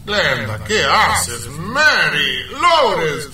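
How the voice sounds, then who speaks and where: An actor playing a bossy, irritable expedition leader in a theatrical, commanding way for a comic radio play. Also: male voice, a little high, wildly swooping pitch, very slow, roomy sound, far from the mic, a crowd in the background, heavily acted. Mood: bossy, irritated.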